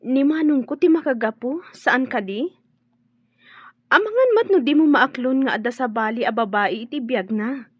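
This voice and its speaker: A woman's voice: high-pitched, expressive, moderate pace, slightly rough, no echo. An actress playing a blunt young woman pressing another about her feelings, in a radio drama. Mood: prodding. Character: young sister-in-law, blunt and prodding. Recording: dry muffled studio audio.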